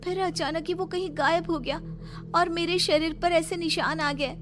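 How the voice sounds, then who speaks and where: A woman's voice: extremely high pitch, steady tone, moderate pace, over music. A woman voicing an anxious young college girl with eerie premonitions in a horror cartoon, earnest and dramatic. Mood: uneasy. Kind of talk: Hindi horror cartoon dubbing.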